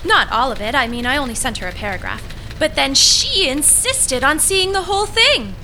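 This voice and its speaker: A woman's voice: high voice, big pitch swings, normal speed, sharp tone, a little hiss or hum, heavily acted. A woman playing an intense, needy, eccentric would-be writer in an audio drama, with an earnest, dramatic, insistent delivery. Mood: earnest, proud.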